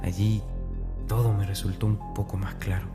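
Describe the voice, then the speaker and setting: Male actor, tormented young man, brooding confession, low pitch, breathy intensity, horror audio drama, prominent music behind. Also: level tone, moderate pace, close to an everyday voice. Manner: intense, breathy, often dropping toward a whisper.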